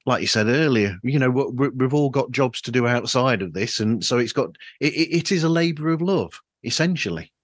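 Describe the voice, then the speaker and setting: A man's voice: mid-range voice, lively intonation, fairly quick, few pauses, no room sound. Dry-sounding male podcast interviewer. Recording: flawed microphone sound, dry.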